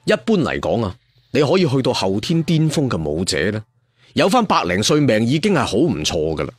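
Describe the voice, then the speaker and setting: Very animated male storyteller, husky. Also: mid-range voice, brisk, no room sound. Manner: animated, conversational, with spoken sound effects. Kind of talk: dramatic audiobook storytelling of a martial arts tale.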